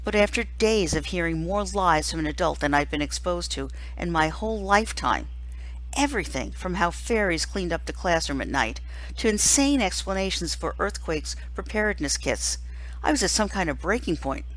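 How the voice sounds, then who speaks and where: A woman reading a novel aloud like a teacher to listeners, in an expressive storytelling narration. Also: lowish voice, rough recording.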